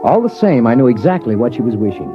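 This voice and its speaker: A man's voice: mid-range voice, very animated, fast, loud music behind the voice. A man narrating and playing a worried father in a 1950s radio drama, wry and anxious. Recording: dull old radio recording.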